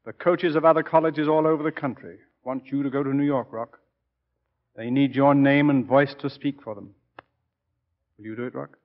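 A man acting a brisk, serious sports colleague in a 1940s radio drama. His medium-pitched voice is urgent and declarative. The recording has the dull sound of an old broadcast. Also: fairly even intonation, lightly acted.

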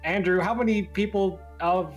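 A man's voice: high-pitched, steady tone, normal speed, soft background music. A man hosting a casual chat show, putting questions to his colleagues. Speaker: show host.

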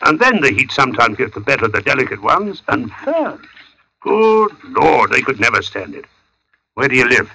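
Odd, sharp-voiced brooding husband. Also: male voice, medium pitch, big pitch swings, normal speed, gravelly, full character voice, poor audio. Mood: brooding, ominous. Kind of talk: old radio drama acting.

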